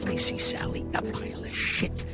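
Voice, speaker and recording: An actor playing an old, calm, menacing mafia boss, measured and paternal, with profane talk, in a cinematic game. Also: male voice, over loud music, close to an everyday voice. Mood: firm warning.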